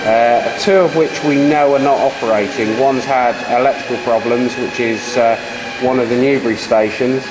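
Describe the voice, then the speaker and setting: A man chatting as an amateur radio operator in a hesitant, rambling way, giving call signs. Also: medium pitch. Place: large indoor beam engine room. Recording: clearly noisy room with machinery hum. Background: steam engines, machinery noise.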